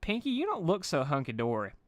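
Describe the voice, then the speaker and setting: A man's voice: fairly high pitch, huge pitch swings, fast, dry recording, voice pushed up. A man voicing a tired, folksy female farm pony who slurs drowsily. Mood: weary. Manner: slurred, drowsy.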